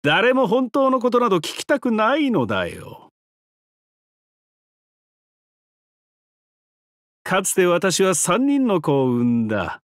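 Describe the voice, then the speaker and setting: A man's voice: high-pitched, wildly swooping pitch, no room sound, studio quality. An actor voicing a depraved, mocking trickster giant-god held prisoner in a game, theatrical and taunting, drawing out his words. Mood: sly, mocking. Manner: theatrical, taunting, drawn-out words, sudden swoops and cackles. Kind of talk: theatrical game character voice lines.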